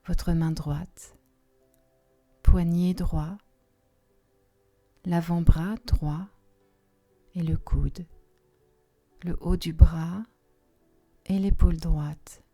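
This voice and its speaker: A woman leading a guided relaxation meditation in a soft, soothing, unhurried manner with long pauses. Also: fairly low pitch, steady tone. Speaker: yoga nidra relaxation guide. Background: soft music.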